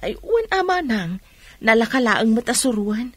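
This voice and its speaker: Casting: homely older Ilocano mother, chatty yet worried, husky, dry radio drama recording. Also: fairly high pitch, big pitch swings, moderate pace, a bit bright, dry recording.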